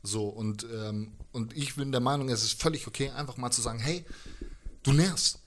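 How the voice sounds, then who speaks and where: A man's voice: mid-range voice, moderate pace, slight background noise. A man in his thirties chatting casually as a musician in an interview, telling stories with slangy asides into a handheld microphone. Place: living room, indoors.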